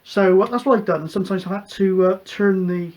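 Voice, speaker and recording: A man from the UK with a noticeably high voice hosts a casual hobby show. He explains practical matters in a chatty way, wandering from point to point with a hesitant, unscripted delivery. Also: fairly quick, darkish tone. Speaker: host of a CB radio show.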